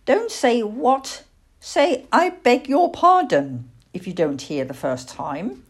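A woman's voice: a little high, sing-song, takes it slowly, breathy edge, pitched above the performer's own voice, exaggerated voice. Woman doing a dotty old lady, fussy and proper, bit of a scold, very up and down voice, storybook style. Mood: prim, chiding. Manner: genteel, prim, chiding. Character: kindly, fussy, short-sighted old lady.

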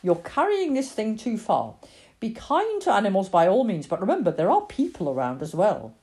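A woman's voice: mid-range voice, wildly swooping pitch, normal speed, a little mellow, pitched above the performer's own voice. A woman voicing a firm, exasperated farmhouse mother in a story, scolding sharply.

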